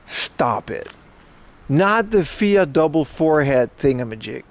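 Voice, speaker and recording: A man's voice: fairly high pitch, sing-song, a little slow. Chatty man streaming outdoors. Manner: chatty, reads chat aloud, mock outrage. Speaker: IRL streamer. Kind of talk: casual livestream chat while walking. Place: outdoors on city streets.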